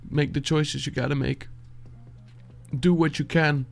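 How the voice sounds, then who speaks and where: Soft-spoken Dutch man, gravelly and halting. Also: on the high side, fairly even intonation, faint music behind. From Netherlands. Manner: soft, halting, searching for words, sighing. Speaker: beatboxer reacting to music. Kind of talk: reflective reaction talk.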